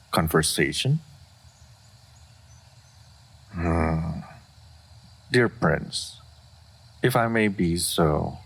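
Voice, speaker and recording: A man playing an aloof dragon in human form, speaking formally and with deadpan reserve in a fantasy roleplay audio. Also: sits low, vocal fry, husky, exaggerated voice. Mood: guarded, bluntly courteous. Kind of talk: scripted fantasy roleplay audio addressed to the listener.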